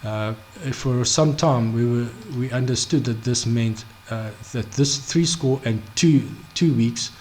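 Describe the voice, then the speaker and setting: A man teaching a Bible study off the cuff, halting, full of restarts, fillers and "okay?" check-ins. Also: medium pitch, darkish tone, a little hiss or hum. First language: not Hebrew. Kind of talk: unscripted screen-share Bible study.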